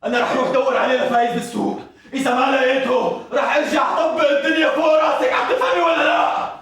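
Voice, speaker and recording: A man playing a furious shouting father. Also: very high voice, fairly even intonation, fast. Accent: Levantine Arabic. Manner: shouting, cursing, scolding. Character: furious father whose only son is lost. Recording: echoey room from far off with crowd.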